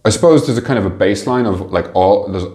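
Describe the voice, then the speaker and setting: A man answering interview questions conversationally, haltingly thinking aloud as he explains his work as a manager. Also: fairly low pitch, a little mellow. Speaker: interview guest, team manager.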